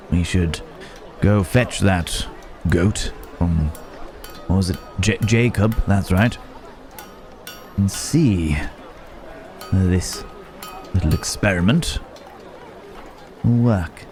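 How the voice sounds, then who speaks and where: Troubled gentleman werewolf played by a man, ASMR fantasy roleplay. Low, unhurried, hesitant, antique courtesy. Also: faint music behind. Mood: amused, grateful. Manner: old-fashioned formal phrasing, hesitant, musing. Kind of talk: ASMR roleplay acting, one-sided dialogue. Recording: busy outdoor market ambience behind voice. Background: market crowd ambience.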